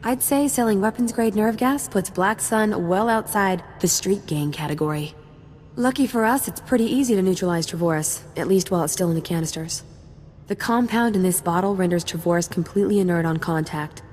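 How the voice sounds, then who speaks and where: A woman's voice: medium pitch, a little fast, a little mellow, big-room sound, slight background noise, natural voice. A woman playing a confident, wry young special forces sergeant in a video game, casual and playful. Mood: breezy briefing. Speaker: soldier giving mission briefing. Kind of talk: scripted game dialogue.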